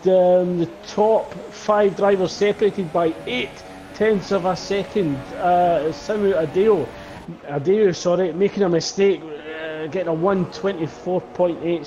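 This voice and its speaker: A man giving play-by-play commentary on a sim race, calling lap times in a steady, measured way. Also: high voice, dull audio. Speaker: lead commentator.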